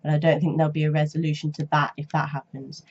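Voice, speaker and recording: A young woman talking casually on her reaction vlog, her voice stuffy and a little rough from a cold. She speaks low and soft, rambling with lots of ums and restarts. The recording sounds dull and lo-fi. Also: completely flat delivery, quick pace. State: stuffy nose and sore throat from a cold. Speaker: reaction channel host.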